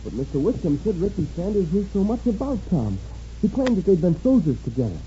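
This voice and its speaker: An actor playing an earnest, respectful young cowboy sidekick in a 1950s radio western. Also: male voice, high-pitched, sing-song, fairly quick, dark tone, faint music behind. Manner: respectful, eager. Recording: dull, hissy 1950s radio recording.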